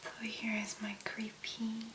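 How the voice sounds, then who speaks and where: A woman speaks in a breathy, hushed near-whisper, as an ASMR host would. Her delivery is slow and very level, laid out in short murmured phrases. The sound is roomy, with a noticeable echo around her voice. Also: a little high, monotone, noisy background. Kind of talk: soft-spoken ASMR make-up tutorial.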